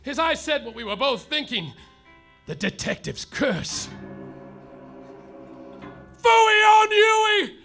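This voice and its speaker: An actor playing a melodramatic, heartbroken private detective, declaiming a parody noir story in a live comedy radio play. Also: male voice, extremely high pitch, big pitch swings, slow pace, creaky, pauses often, pushed and bright, soft background music, full character voice. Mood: defiant. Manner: overwrought, declamatory. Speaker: lead actor and narrator.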